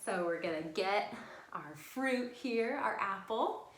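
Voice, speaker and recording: In a roomy, echoing space, a woman leads storytime for small children. Her voice swings widely in pitch and takes its time, with a sing-song, encouraging delivery and simple wording aimed straight at the kids. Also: expressive, slow, a little hiss or hum. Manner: encouraging, simple direct address to young children. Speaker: children's librarian hosting storytime. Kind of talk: children's storytime with read-aloud, songs and rhymes. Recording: roomy, echoing indoor sound.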